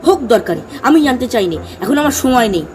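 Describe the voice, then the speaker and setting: Man playing a very high-voiced, plaintive traveller. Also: big pitch swings, fast talker. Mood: exasperated. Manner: plaintive, pleading. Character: polite, thirsty, increasingly exasperated male traveller. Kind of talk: comedy audio drama acting. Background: background music.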